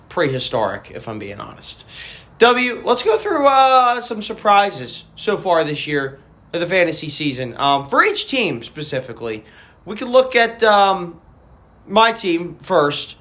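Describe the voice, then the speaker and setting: Man, podcast host, raspy voice, rambling casual talk, slightly high pitch. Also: very animated, unhurried, a bit bright. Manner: rambling, frequent restarts and fillers. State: raspy voice after a weekend party. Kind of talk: casual fantasy football chat.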